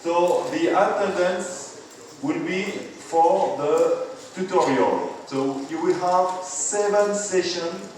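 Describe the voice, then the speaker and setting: A man, a university physics professor giving a classroom lecture, halting with many fillers and repeated okays. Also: sits high, a little mellow, a little hiss or hum. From France. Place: large lecture hall. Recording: echoey hall from far off with crowd murmur.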